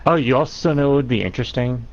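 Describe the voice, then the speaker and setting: A man's voice: mid-range voice, fast. A man co-hosting a casual podcast, dry and steering the talk back on track. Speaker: podcast co-host. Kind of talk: casual group chat. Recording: dull lo-fi mic.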